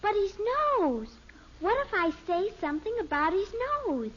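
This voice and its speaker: A woman's voice: extremely high pitch, expressive, normal speed. A woman playing an anxious, whining little girl in a 1950s American radio sitcom, pleading in an American accent. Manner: whiny, pleading. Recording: dull noisy old radio recording.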